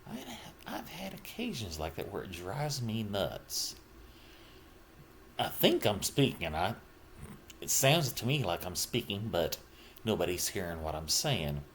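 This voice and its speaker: A middle-aged man talking casually and reflectively about himself, in halting, broken phrases with trailing pauses. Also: low-pitched, fairly bright tone, a little hiss or hum. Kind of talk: casual personal monologue, rambling reflection.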